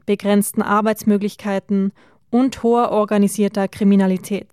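A female news reporter reads a scripted report at a measured, even pace. Her voice is mellow and stays flat in pitch. The recording is dry and close. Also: clean tone, no room sound.

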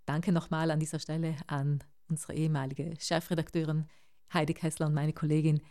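A woman, hosting an interview in a measured conversational style as a journalist. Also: deep voice, flat delivery, dark tone.

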